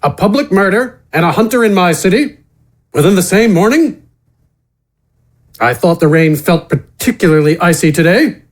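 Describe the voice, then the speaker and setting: A man voicing a stern, gruff city guard captain who speaks in a curt, commanding way in a fantasy audio story. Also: high voice, expressive, normal speed, pauses often, pitched above the performer's own voice. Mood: incredulous, curt.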